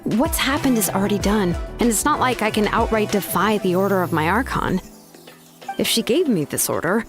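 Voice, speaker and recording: An actress playing a young master craftswoman in a video game, blunt and pragmatic, speaking with measured, hesitant exasperation. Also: on the high side, lightly acted. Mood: resigned, resolute. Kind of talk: video game character dialogue. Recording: music behind the voice.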